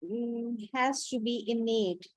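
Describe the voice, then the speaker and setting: Higher-voiced woman, halting and agreeable. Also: a little mellow, dry recording, low-quality recording. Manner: agrees a lot, speaks haltingly with restarts. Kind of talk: casual chat on a video call.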